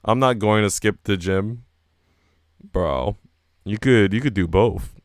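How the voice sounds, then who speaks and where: Low-pitched, clear-voiced man reading aloud. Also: slow pace, dry recording, studio quality. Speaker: streamer reading a visual novel aloud. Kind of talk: live read-aloud of visual novel narration with casual asides.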